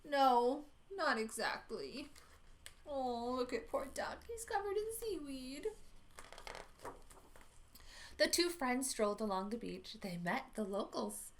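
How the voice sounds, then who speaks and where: A woman reading a picture book aloud to young children at library storytime, expressive, with dramatic asides. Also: sits high, normal speed, few pauses, slight background noise.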